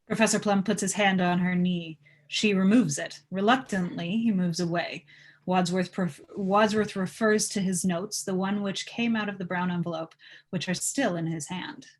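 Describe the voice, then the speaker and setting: A woman's voice: on the low side, steady tone, moderate pace. A woman narrating stage directions at a live table read of a film script.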